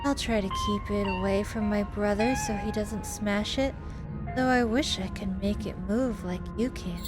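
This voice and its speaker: A woman's voice: mid-range voice, fairly even intonation, moderate pace, close to an everyday voice. A woman voicing an earnest, eager child in a horror visual novel. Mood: sweet, admiring. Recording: music behind the voice.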